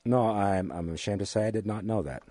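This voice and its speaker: Deliberate older American man, low-pitched, dry sound. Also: warm tone, no echo. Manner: measured, deliberate, formal wording with hesitant pauses. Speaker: interview guest, college history teacher. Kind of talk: thoughtful studio interview. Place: radio studio.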